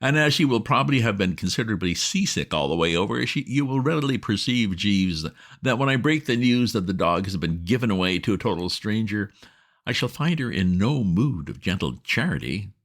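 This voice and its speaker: A man voicing a flustered, excitable young gentleman who bursts out in indignant exclamations in a storybook reading. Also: mid-range voice, pitched above the performer's own voice. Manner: exclamatory, indignant, rapid outbursts.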